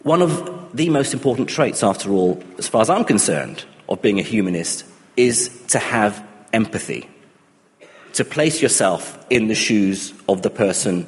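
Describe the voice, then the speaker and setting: A man's voice: fairly low pitch, big-room sound. A middle-aged man born and raised in Iraq, giving a thoughtful personal talk with frequent restarts and fillers. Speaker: speaker on humanism and atheism.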